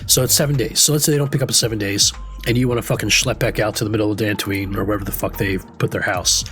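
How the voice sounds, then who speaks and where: A man's voice: on the low side, steady tone, fast, fairly bright tone. Man, stuffy nose, rambling, offhand, casual swearing, game tutorial at his desk, faint music behind. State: stuffy from a cold. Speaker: game vlogger.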